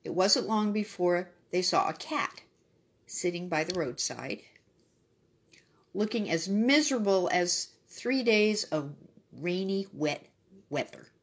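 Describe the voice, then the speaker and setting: A woman's voice: fairly low pitch, lively intonation, slow. A woman reading a children's story expressively, adding brief casual asides.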